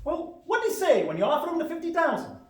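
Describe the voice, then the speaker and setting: Woman acting as scheming businessman, high-pitched, swooping delivery, bossy, excitable, radio play, echoey hall. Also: quick pace. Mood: impatient, dismissive. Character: pushy, scheming, irritable male real estate businessman. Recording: echoey hall, distant microphone.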